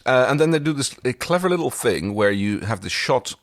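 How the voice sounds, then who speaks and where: A man's voice: medium pitch, expressive, fairly quick, no echo, studio quality. A man co-hosting a casual episode recap, talking in a rambling, analytical way. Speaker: podcast co-host.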